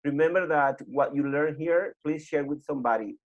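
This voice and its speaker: A man hosting a webinar on a video call, giving informal closing announcements in a halting way and repeating himself. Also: a little high. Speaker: webinar host. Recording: muffled video call audio.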